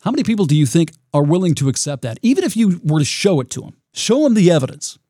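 A man with a raspy voice hosting his own podcast, talking in a reflective, rambling way with frequent restarts. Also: on the high side, big pitch swings, quick pace, mellow, no room sound, clean studio sound. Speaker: podcast host. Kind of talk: solo podcast monologue.

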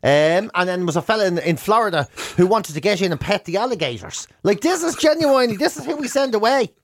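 Irish male radio co-host, high register, close dry sound, retells anecdotes with mock indignation. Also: fast talker, dry recording. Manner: animated, acts out quoted complaints in mock outrage. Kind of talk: casual comic banter, retelling anecdotes. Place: radio studio.

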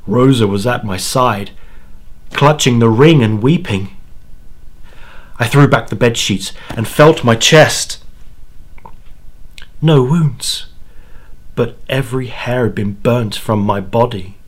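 Man playing a slow, halting, anguished dying grandfather. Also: medium pitch. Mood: sorrowful confession. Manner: halting, repeats words, dramatic. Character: anguished dying old grandfather confessing his terrible bargain. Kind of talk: dramatic first-person horror monologue.

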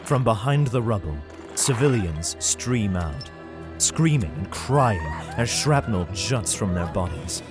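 A man playing a weary father who narrates a science fiction audio drama in the first person, grave and measured. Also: on the low side, expressive. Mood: grim. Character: weary father fleeing with his son through a besieged hive city. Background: music.

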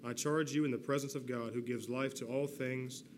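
Man reading scripture, measured and solemn. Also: mid-range voice, steady tone, a little hiss or hum. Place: church sanctuary.

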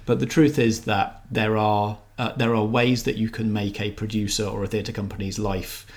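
A man's voice: fairly low pitch, little pitch movement, normal speed. A man co-hosting a podcast, discussing things casually in a considered, articulate way. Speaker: podcast co-host.

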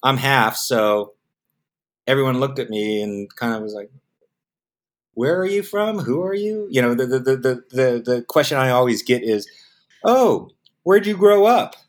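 A middle-aged man from the United States, a film producer speaking in a casual interview, telling stories and acting out the voices of people he quotes. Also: a little slow. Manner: animated storyteller, acts out quoted voices with jumps in pitch; repeats words when restarting.

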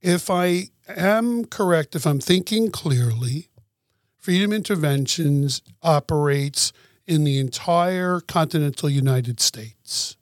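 A man with a fairly high voice who hosts in a gentle, unhurried way, speaking slowly and laughing often. The recording is dry and close. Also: no room sound. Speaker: interview host. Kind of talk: casual interview talk.

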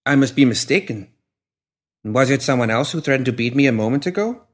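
A man voicing a slight, composed young woman who speaks in a precise, deadpan tone. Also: medium pitch, fairly quick, pitched above the performer's own voice, close to an everyday voice. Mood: deadpan cold.

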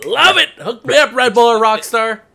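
A man on a casual group podcast, making loud, hyped outbursts. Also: very high-pitched, normal speed, gravelly.

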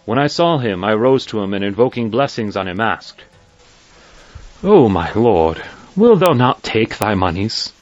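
A man's voice: fairly low pitch, sing-song, a little slow, no room sound. A man playing a courteous, curious broker who narrates his story in formal archaic English for an audio drama. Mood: courteous, eager. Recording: dull lo-fi sound, faint music behind.

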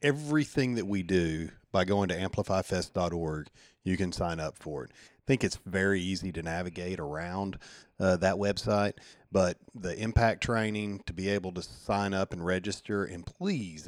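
A man's voice: low-pitched, moderate pace, no room sound, clean studio sound. A man with a slight lisp, talking casually and earnestly as he promotes an event he helps organize.

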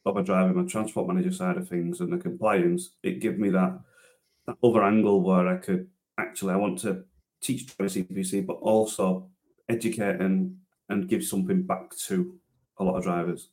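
Low-voiced man in his thirties, rambling. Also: darkish tone. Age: 30s. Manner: rambling, many restarts and fillers, self-deprecating. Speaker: interview guest, transport manager and driver trainer. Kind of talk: casual podcast interview.

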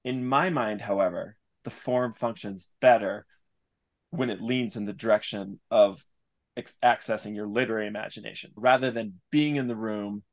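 A man's voice: lowish voice, moderate pace. A man speaking as an interview guest, explaining his creative thinking in an earnest, discursive, analytical way. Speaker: interview guest, audio drama writer. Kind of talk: reflective interview talk about craft.

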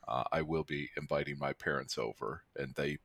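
Very deep-voiced man hosting a podcast. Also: normal speed, a bit bright. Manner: chatty, self-correcting, laughs easily. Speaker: podcast co-host. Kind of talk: casual podcast chat.

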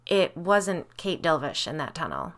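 A woman's voice: low-pitched, wildly swooping pitch, unhurried, a bit bright, exaggerated voice. A woman voicing a concerned female friend in a spooky story, firm and pressing as she questions.

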